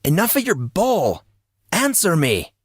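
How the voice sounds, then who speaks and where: A furious teenage boy, acted out by a male narrator, hot-tempered and accusing. His voice is pushed up high and lands hard on each charge. It is recorded close and dry, as in a dramatic fantasy audiobook. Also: wildly swooping pitch, measured pace, husky, dry recording, exaggerated voice. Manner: heated, emphatic, accusing. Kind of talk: audiobook character acting.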